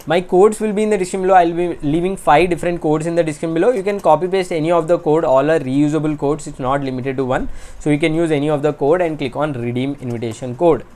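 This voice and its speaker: A man with an Indian accent narrates a desktop screen recording as a channel host. He walks through each step in a plain, instructional way, pausing often on filler sounds as he goes. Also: fairly high pitch, steady tone, a little mellow. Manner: step-by-step explanatory, frequent filler hesitations. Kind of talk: screen-recorded crypto tutorial. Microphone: voice-over on desktop screen capture.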